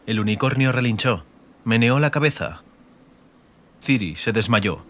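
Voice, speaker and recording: A man's voice: mid-range voice, lively intonation, unhurried, a bit bright, a little hiss or hum. A man with an accent from Spain, narrating a fantasy audiobook in a dramatic scripted style. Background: music.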